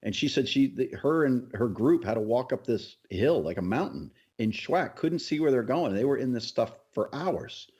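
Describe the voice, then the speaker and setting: A man's voice: medium pitch, lively intonation, moderate pace, few pauses, a little mellow, slightly rough, highs cut off. A middle-aged man co-hosting a podcast, telling stories in casual conversation. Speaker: podcast co-host. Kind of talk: casual chat, storytelling.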